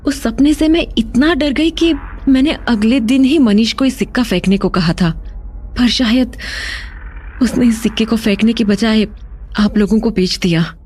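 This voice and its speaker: High-voiced, anxious woman playing a haunted young woman. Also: normal speed, darkish tone, slight background noise, close to an everyday voice. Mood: frightened. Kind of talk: animated horror story dialogue. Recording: long hall reverb, music behind.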